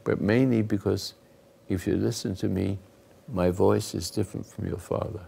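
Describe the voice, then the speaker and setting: An older man hosting a talk, giving rambling, earnest moral teaching to a live group, often dropping into hushed asides. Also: on the low side, fairly even intonation, moderate pace, a little hiss or hum. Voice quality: gravelly, husky. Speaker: host and spiritual counselor.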